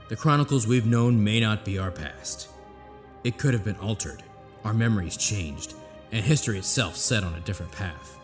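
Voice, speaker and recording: A man narrating a scripted audio drama introduction in a dramatic, portentous style. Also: fairly low pitch.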